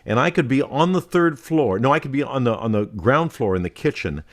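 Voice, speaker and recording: Brief: middle-aged male co-host, resonant carrying voice, lively theatrical delivery, casual chat. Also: mid-range voice, fairly quick. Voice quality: resonant voice that carries. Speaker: podcast co-host.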